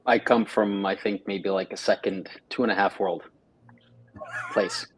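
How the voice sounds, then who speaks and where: Low-voiced man, flat delivery. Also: husky, low-quality recording. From Russia. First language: Russian. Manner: halting, many fillers and restarts. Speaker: guest. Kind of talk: casual interview chat. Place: home studio, live stream.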